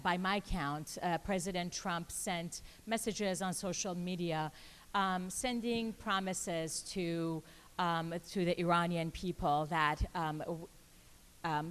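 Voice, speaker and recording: Moderator, woman from Iran, measured and pausing, lowish voice. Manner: measured, many "um" pauses. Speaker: panel moderator. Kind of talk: panel discussion. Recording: reverberant conference hall.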